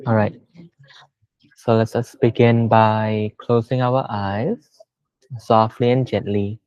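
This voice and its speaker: A man leading a guided meditation as a teacher, speaking gently and unhurriedly with long pauses. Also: fairly low pitch, level tone, clear, resonant tone, no echo, dull audio.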